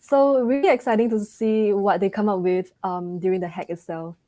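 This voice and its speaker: A woman moderates an online event in a warm, mellow voice. She is polite and gracious, thanking speakers and moving things along with frequent ums. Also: mid-range voice, fairly even intonation, moderate pace.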